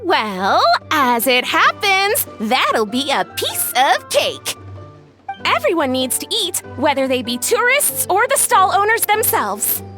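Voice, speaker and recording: High-pitched perky young inventor. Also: female voice, very animated, takes it slowly, bright, forceful tone, faint music behind, heavily acted. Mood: cheerful, modest. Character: bubbly young female inventor, proud, enterprising, polite. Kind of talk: video game voice acting.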